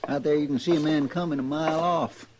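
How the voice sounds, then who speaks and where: An actor playing a folksy, earnest, loyal deputy with a rural Western American accent in an old radio drama. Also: male voice, on the high side, fairly even intonation, fast talker, close to an everyday voice. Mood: worried, earnest. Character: folksy, excitable, loyal deputy sidekick of the marshal. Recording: noisy, crackly vintage radio recording.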